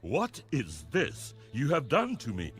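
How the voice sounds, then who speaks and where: A man's voice: medium pitch, huge pitch swings, takes it slowly, slightly rough, faint music behind, heavily acted. An actor playing a wronged, commanding Egyptian king, reproachful, in an audio scripture drama.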